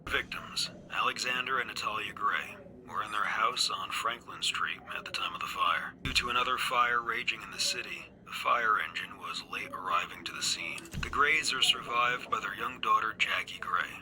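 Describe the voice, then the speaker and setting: A man's voice: lowish voice, moderate pace, bright, forceful tone, a little hiss or hum, rough recording. A man playing a matter-of-fact investigator dictating an official case report in a game cutscene. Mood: sober, official. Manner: clipped, official.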